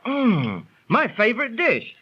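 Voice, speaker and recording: Excitable, sharp-voiced husband. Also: male voice, very high-pitched, slow pace, heavily acted. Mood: cheerful, eager. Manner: eager, exclaiming, folksy. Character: genial, curious husband, eager easygoing dinner host. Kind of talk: 1930s radio drama acting. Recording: lo-fi, slightly noisy 1930s radio recording.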